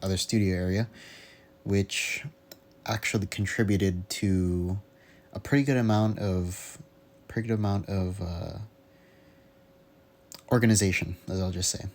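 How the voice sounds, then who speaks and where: Young man, deep voice, casual hobbyist vlog, unscripted, halting delivery, rambling. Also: steady tone, a little mellow. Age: young adult. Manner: halting, rambling, searching for words. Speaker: hobbyist vlogger. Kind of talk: casual unscripted audio log.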